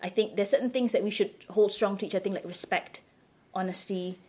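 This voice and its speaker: A woman's voice: mid-range voice, fairly even intonation, quick pace, mellow. A middle-aged woman from Singapore answering interview questions in a candid, emphatic, conversational way. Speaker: interview guest.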